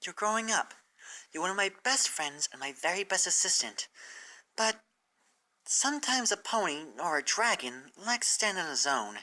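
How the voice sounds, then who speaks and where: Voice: medium pitch, big pitch swings, normal speed, bright, forceful tone, voice pushed up, poor audio. A performer voicing a caring young female pony mentor who speaks in an earnest, coaxing way. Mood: encouraging.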